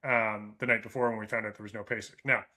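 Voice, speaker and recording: A man's voice: lowish voice, quick pace. A middle-aged Canadian man with a Canadian accent, a running coach talking casually with an athlete, often tagging "right?" onto his points and acting out runners' thoughts. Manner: animated, acts out runners' thoughts in quoted asides. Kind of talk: casual coaching chat over a call.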